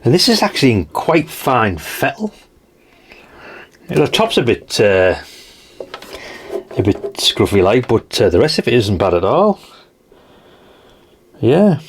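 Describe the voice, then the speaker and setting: A man's voice: mid-range voice, very animated, unhurried, pauses often, breathy edge. A middle-aged man chatting casually and unscripted as he shows things, mildly sweary and full of tag questions. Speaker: host of a retro radio collecting channel. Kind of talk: casual unscripted show-and-tell chat.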